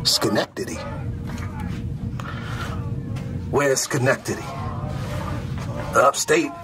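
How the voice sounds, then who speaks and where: A middle-aged American man playing a stern, mocking army drill sergeant, barking intimidating, deliberate lines in a comedic roleplay. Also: mid-range voice, expressive, over music, lightly acted. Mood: amused, mocking. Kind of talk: comedic military ASMR roleplay.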